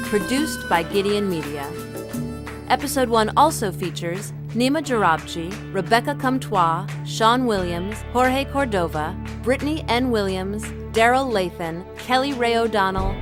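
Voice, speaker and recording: A woman announcer reading scripted credits for an audio drama. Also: medium pitch. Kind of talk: scripted announcement over theme music. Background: music.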